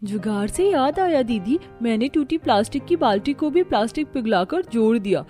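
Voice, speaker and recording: A woman's voice: fairly high pitch, lively intonation, normal speed, mellow, faint music behind, lightly acted. A woman voicing a thrifty, earnest young daughter-in-law in a cartoon family story. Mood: eager.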